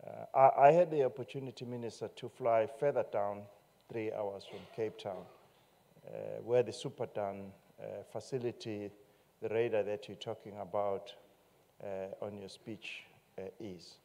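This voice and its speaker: A man's voice: on the low side, steady tone, normal speed, vocal fry, warm tone. A man in his fifties hosting a formal event at a podium, good-humoured and hesitant, with many fillers. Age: 50s. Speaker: agency director-general and master of ceremonies. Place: large marquee tent at an outdoor event. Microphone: podium microphone on a stand. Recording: reverberant live event sound.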